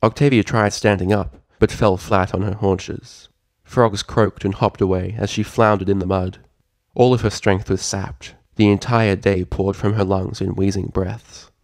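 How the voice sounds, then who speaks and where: A man reading a story aloud as narrator, with a dramatic and expressive delivery. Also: lowish voice, measured pace, no room sound, studio quality. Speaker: fan fiction narrator.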